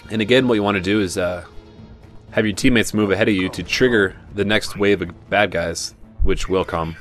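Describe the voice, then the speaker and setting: A man giving relaxed, chatty commentary over a video game playthrough, with frequent asides and fillers. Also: low voice, moderate pace. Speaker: game guide narrator. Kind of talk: casual gameplay commentary. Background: game music and gunfire.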